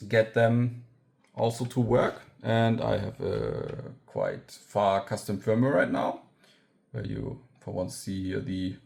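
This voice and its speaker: A man's voice: medium pitch, gravelly. A man giving a casual hands-on tech tutorial, thinking aloud as he demonstrates. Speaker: electronics hacker presenting own project.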